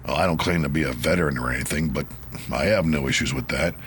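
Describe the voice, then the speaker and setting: An actor playing a modest, earnest detective in an audio drama, speaking with some hesitation. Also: male voice, rumbling low, fast, fairly bright tone, slight background noise. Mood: modest, businesslike. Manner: hesitant, restarting. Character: modest, earnest homicide detective turned demon hunter.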